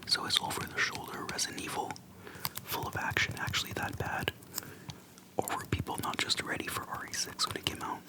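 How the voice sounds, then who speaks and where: Soft whispering ASMR host. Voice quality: soft, breathy unvoiced whisper. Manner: slow, hushed, pausing between short phrases. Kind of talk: whispered ASMR, relaxed personal chat. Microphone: close microphone for ASMR. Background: tapping on a plastic case.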